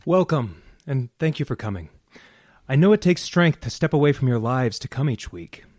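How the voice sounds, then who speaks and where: Man playing earnest, animated support group leader. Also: medium pitch, moderate pace, no echo, clean studio sound, close to an everyday voice. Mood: earnest welcoming. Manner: formal, welcoming, encouraging. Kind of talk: comic radio play acting.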